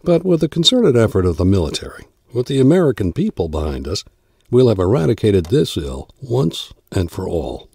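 An actor playing a persuasive, hawkish presidential advisor with a husky, mellow voice in a radio play. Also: male voice, fairly low pitch, very animated, normal speed, no room sound, studio quality, full character voice. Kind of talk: satirical radio play acting.

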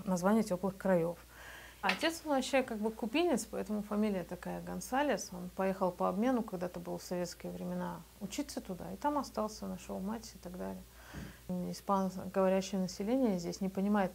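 A woman's voice: medium pitch, gravelly, darkish tone. A woman in her thirties from Belarus, a business owner telling her story in a documentary interview in a small office, speaking matter-of-factly into a lapel mic. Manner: matter-of-fact, conversational.

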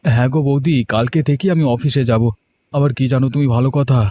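A man's voice: mid-range voice, fast, warm tone, faint music behind, close to an everyday voice. A man playing an eager, respectful younger brother in a cartoon story. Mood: happy, eager. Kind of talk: cartoon moral-story dialogue.

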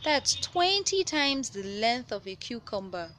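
A woman reading a children's science picture book aloud, with measured, clear phrasing for young listeners. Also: a little high, very animated, a bit bright. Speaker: children's read-aloud host. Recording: noticeable background noise.

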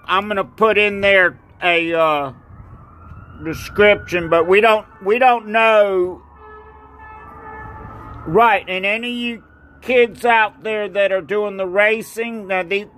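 An older man from North Carolina talks outdoors on a porch into a phone at arm's length, rambling and halting with many fillers and repeated thank-yous. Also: sits high, bright, forceful tone. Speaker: ski racer and video maker. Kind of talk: casual home video. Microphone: phone camera at arm's length.

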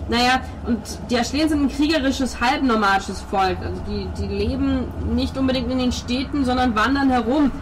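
A woman's voice: high-pitched, moderate pace, hardly any pauses, noisy background, close to an everyday voice. A woman voicing a devout, pompous male priest in tabletop roleplay, explaining at length with hesitations and pious flourishes. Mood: patient explaining.

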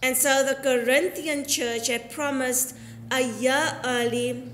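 An echoey church carries the voice of a woman preaching. She speaks slowly and deliberately in a fairly high voice. Her delivery is earnest, following a prepared sermon. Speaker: Anglican priest preaching. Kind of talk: sermon, read from prepared text. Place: inside a church, at the altar.

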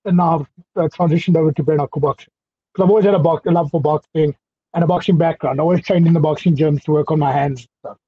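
A South African man in his thirties chats easily as an interview guest, his voice husky and sitting a little high. He speaks over a headset from a small indoor room. The call audio sounds dull and lo-fi. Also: fast talker, no echo. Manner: relaxed, chatty, laughs easily. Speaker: guest fighter. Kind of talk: casual video-call interview. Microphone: headset on video call.

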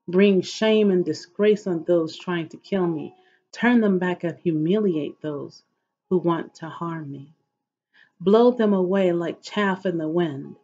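Husky woman reading scripture slowly. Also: lowish voice, dark tone. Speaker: host of an inspirational reading series. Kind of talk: devotional scripture reading aloud. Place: living room at home, indoors. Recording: dull, lo-fi webcam audio.

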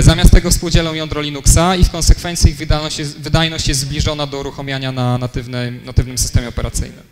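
Young man presenting in an echoey hall. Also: mid-range voice. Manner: fillers and self-corrections, explanatory. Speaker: conference speaker. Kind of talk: technical conference talk. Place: conference room, lecture hall. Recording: long hall reverb, faint noise.

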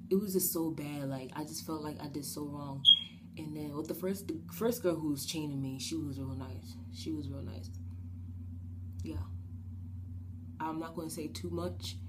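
A young woman from New Jersey with a raspy, slightly shaky voice, rambling through a casual storytime vlog with lots of fillers. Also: low-pitched, noisy background. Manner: rambling and animated, with many fillers and quick gestures. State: shaky voice after a breathing treatment. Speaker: vlogger. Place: small bedroom, indoors. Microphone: webcam at desk distance.

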